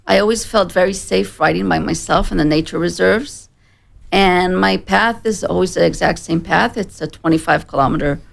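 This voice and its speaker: A woman in her forties from Israel with a slight American accent, giving a personal account as a studio interview guest in plain, measured sentences. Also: on the low side, creaky. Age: 40s. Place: broadcast studio.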